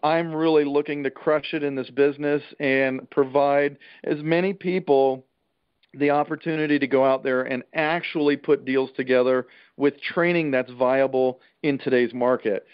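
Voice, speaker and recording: A man giving an informal real estate trainer's talk over a conference call, plain-spoken and emphatic, with a salesman's folksy pitch. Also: fairly even intonation, normal speed, clean tone. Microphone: telephone conference call. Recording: dull, band-limited conference call line.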